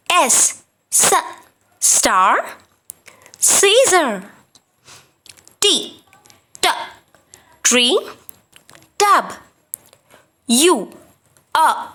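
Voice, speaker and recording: Slow, high-pitched woman teaching young children. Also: a bit bright. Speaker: children's phonics teacher and presenter.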